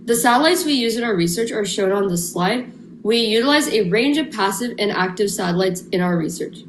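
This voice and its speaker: A woman's voice: mid-range voice, lively intonation, fairly quick. A teenage girl reads her part of a science presentation evenly from a script. The room rings with echo, and a faint hum sits underneath. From San Diego, California. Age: teens. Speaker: student presenter.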